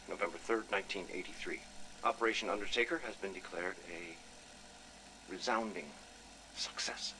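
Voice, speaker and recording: A man's voice: lowish voice, noisy background. A man playing a smug, sinister scientist who savors his words in a game's personal audio log. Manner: theatrical, savoring words, drops to near whisper. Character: smug, sinister male scientist, hungrily fascinated by specimens.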